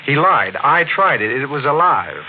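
An actor playing a calm, smooth-talking murderer in a 1940s radio drama. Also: male voice, on the high side, normal speed. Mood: persuasive. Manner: calm, menacing, silky. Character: smooth-talking man, a cunning fire-mad killer. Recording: tinny old radio broadcast with hiss.

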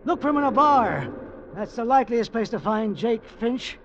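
An actor playing a touchy, suspicious old island drifter with a folksy, uneducated drawl in vintage radio drama. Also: male voice, extremely high pitch, sing-song, normal speed, music in the background, full character voice. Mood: touchy, irritated. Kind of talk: 1940s-50s radio drama acting. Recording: muffled, noisy vintage radio recording.

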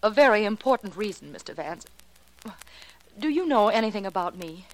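An actress playing an anxious, earnest young heiress in a 1940s radio drama, polite, pleading and a little hesitant. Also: female voice, medium pitch, lively intonation, moderate pace, natural voice, rough recording. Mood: polite, worried. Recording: vintage 1940s radio recording.

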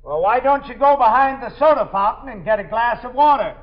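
An actor playing a patient police captain who projects reassurance through a door in a 1950s radio drama. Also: male voice, very high-pitched, lively intonation, brisk. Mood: calming. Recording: muffled 1950s radio recording.

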